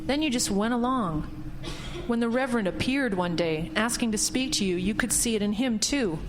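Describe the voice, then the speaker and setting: An actress playing a knowing, stern female ghost in a horror audio drama, measured and accusing. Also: a little high, lively intonation, slight background noise, natural voice. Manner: measured, accusing, coolly certain.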